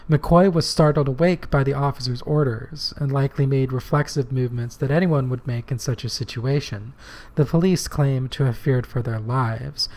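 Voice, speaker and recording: A man co-hosting a political podcast, talking earnestly and plainly, his voice slightly hoarse from shouting. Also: mid-range voice. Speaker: podcast co-host. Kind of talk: casual political podcast talk.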